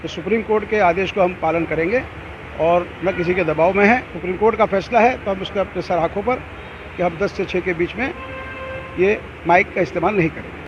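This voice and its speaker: Male community representative, high pitch, spontaneous interview, muffled sound, clear vehicle noise. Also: brisk. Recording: dull audio, clear outdoor traffic noise.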